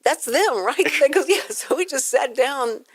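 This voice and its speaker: A woman's voice: high voice, animated, measured pace, no echo. A woman with an American accent hosting a chatty radio interview, rambling informally and acting out quotes as she tells stories. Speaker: radio show host.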